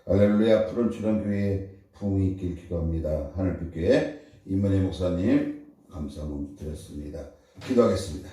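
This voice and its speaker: Heard from a distance in a heavily echoing hall, a male pastor prays blessings over offerings. His voice is low and very dark in tone. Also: moderate pace. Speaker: pastor, revival preacher. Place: large reverberant indoor church hall. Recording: very echoey church hall from far off.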